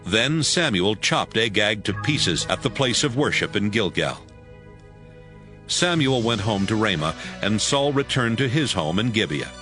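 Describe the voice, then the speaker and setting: A man's voice: lowish voice, very animated, a little slow, sharp tone, faint music behind. A man narrating scripture in a scripted, dramatic storytelling style.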